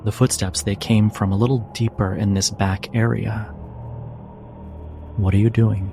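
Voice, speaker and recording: A man narrating a tabletop role-playing game as game master, in deliberate, broken-up phrases. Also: fairly low pitch, flat delivery, dark tone, faint music behind.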